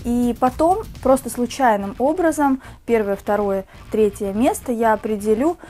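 A woman's voice: fairly high pitch, moderate pace. Chatty young woman with a slight cold. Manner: chatty, with fillers and soft diminutives. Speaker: beauty vlogger. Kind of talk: casual chat to camera. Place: small room indoors. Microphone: lapel mic.

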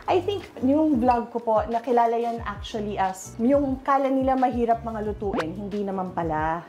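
A woman's voice: a little high, animated, moderate pace, darkish tone. A woman in her forties chatting casually in her home kitchen, giving a tour of her fridge and mixing English into her talk. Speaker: guest homeowner cooking. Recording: music in background.

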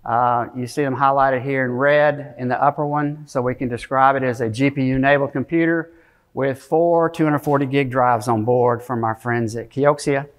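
A man's voice: mid-range voice, steady tone, moderate pace. A middle-aged man giving an informal technical keynote in a conversational manner. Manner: conversational, frequent tag questions like "okay?" and "right?"; frequent throat clearing. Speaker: conference keynote speaker. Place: conference stage, speaking from a podium area to an audience.

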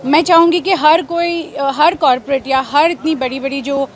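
A woman in her thirties speaks in an interview outdoors. Her voice is high, with an Indian accent, and she switches between two languages. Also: steady tone, normal speed, slight background noise. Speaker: NGO representative being interviewed. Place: outdoors at a street event.

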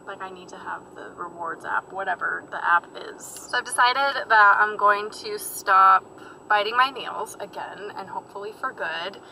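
A young woman talks from behind the wheel, chatting loosely about her day with plenty of fillers. She is recorded on a dashboard camera inside the car, with steady road and engine noise underneath her voice. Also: medium pitch, low-quality recording. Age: young adult. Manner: chatty and rambling, many fillers and asides. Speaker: vlogger. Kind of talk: casual vlog chat while driving. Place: inside a parked and moving car.

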